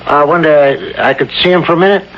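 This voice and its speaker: A man acts out a rough-edged small-town American bar owner for old-time radio. His voice sits fairly high for a man, and his talk is folksy, wary and slangy. The sound is dull with faint noise. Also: brisk, lightly acted. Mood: polite, awkward. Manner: folksy, slangy, hesitant with uhs. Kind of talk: vintage radio drama acting.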